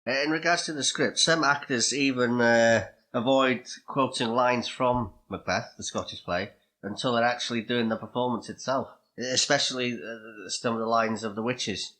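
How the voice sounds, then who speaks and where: A man co-hosting a podcast, speaking casually but with measured, slightly formal phrasing. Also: mid-range voice, bright, forceful tone. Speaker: podcast co-host.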